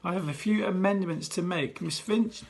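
A man voicing a brash male head teacher who acts like a showman, with loud, drawn-out greetings. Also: high voice, creaky, slightly rough, exaggerated voice. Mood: breezy.

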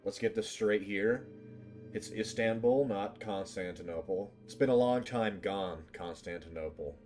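A deep-voiced man commentates a game stream. He jokes in a deadpan, casual way and takes his time, with game music playing behind him. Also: unhurried. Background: video game music.

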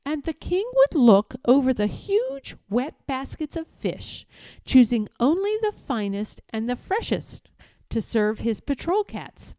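Slow, animated woman reading a storybook. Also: sits high, no echo. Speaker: read-aloud storyteller. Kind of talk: expressive children's storybook narration.

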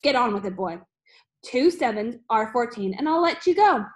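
A woman's voice: on the high side, measured pace, lightly acted. Lady reader doing huge mean headmistress from a kids book, barking n bellowing, hates children, total bully. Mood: threatening.

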